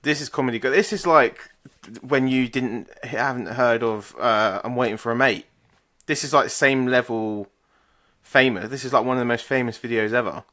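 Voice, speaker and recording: A man's voice: mid-range voice, normal speed, pushed and bright. A young man co-hosting a podcast, chatting in a laid-back, dry way and swearing casually. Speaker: podcast co-host. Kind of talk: casual banter.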